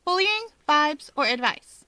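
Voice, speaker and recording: Snippy, self-assured young woman performed by a female reader, raised bright pitch, peppy retorts, audiobook character. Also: sing-song, unhurried, no echo, heavily acted. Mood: playful, wry. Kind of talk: fan fiction audiobook character voice.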